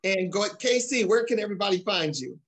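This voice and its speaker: A man's voice: high voice, lively intonation, brisk, fairly bright tone, husky. Middle-aged male talk show host, enthusiastic and emphatic, speaking on a video call. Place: indoor room at home, on a video call.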